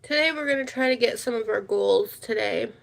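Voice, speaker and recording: High-voiced woman livestreamer with creaky fry. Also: a little fast. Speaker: livestream host. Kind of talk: casual livestream chat.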